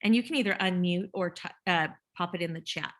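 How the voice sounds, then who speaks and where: A woman's voice: lowish voice, brisk, fairly bright tone. A woman presenting a teacher-training workshop over a video call, chatty, with fillers and restarts. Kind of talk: casual conference presentation.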